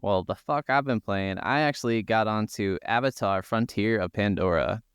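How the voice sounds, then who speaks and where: A man's voice: fairly low pitch, normal speed, clean tone, no echo, clean studio sound. A man co-hosting a podcast, chatting casually in a colloquial way with some swearing. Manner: colloquial, sweary, heavy use of "like". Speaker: podcast co-host. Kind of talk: casual banter between co-hosts.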